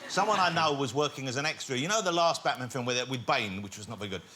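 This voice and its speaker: A man on a comedy panel show telling an animated funny story. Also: mid-range voice, quick pace. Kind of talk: comic anecdote telling. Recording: loud studio audience and noise.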